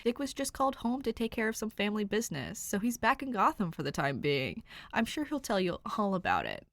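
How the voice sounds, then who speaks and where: A woman's voice: medium pitch, very animated, a little fast, a bit bright, dry recording, pitched above the performer's own voice. A woman voicing a bulky tattooed male security guard who talks with a friendly city drawl. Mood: reassuring.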